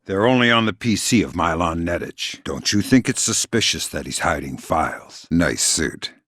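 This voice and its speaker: A man playing a gruff, middle-aged covert operative in a video game, with a gravelly, husky voice and a dry, deadpan, quietly threatening manner. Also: deep voice, moderate pace, no room sound. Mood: deadpan, wry. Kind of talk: video game voice acting, isolated dialogue lines. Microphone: close studio microphone, dry.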